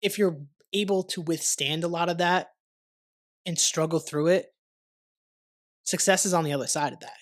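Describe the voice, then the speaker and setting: A man's voice: on the high side, steady tone, normal speed, pauses often. A young man hosting a podcast, talking casually and rambling with lots of "like" and the odd swear word. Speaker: podcast host. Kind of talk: casual conversational interview. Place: warm studio under lights.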